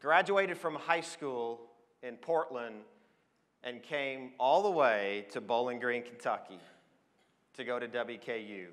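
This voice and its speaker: American man, forties, conference speaker, forceful bright tone, enthusiastic and emphatic, motivational business talk, big echoing hall. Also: medium pitch, slow pace. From Switzerland, then Oregon. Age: 40s. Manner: emphatic, enthusiastic, addresses listeners with questions. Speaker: conference speaker, advertising professional. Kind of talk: motivational business presentation to an audience. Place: on stage in a large hall. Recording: long echo of a large hall.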